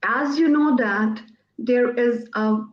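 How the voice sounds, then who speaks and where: A woman from Pakistan whose first language is Urdu asks interview questions over a call. She speaks very slowly and often stalls while searching for words. Her audio is echoey and muffled. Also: fairly high pitch. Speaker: host, interviewer.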